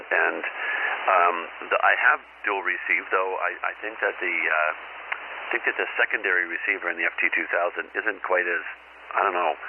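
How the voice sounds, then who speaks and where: A man's voice: sits low, phone-quality sound. A middle-aged or older man talking casually over a radio transmission, rambling and hesitant, often repeating his words. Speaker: amateur radio operator, caller. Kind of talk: casual ham radio conversation. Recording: band-limited noisy ham radio transmission.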